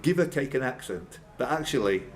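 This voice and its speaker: Male quiz master, bantering, swinging intonation, slightly high register, live pub setting with light background noise. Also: expressive, brisk. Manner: bantering, jokey asides.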